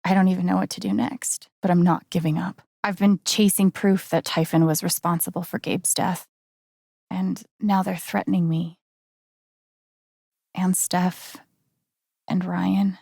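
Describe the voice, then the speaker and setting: An earnest, determined young woman in a dramatic video game. She speaks slowly and hesitantly, with plenty of creak in a heartfelt, emotionally exposed delivery. The sound is close and dry. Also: on the low side, level tone, a little mellow, dry recording, heavily acted. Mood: grave, determined.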